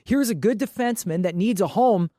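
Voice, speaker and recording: A woman's voice: mid-range voice, lively intonation, a little fast, dark tone, no echo. Blunt, salty woman talking sports, dry sound. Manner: blunt, salty, heavily swearing, indignant. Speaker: podcast co-host. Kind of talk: casual, opinionated sports talk.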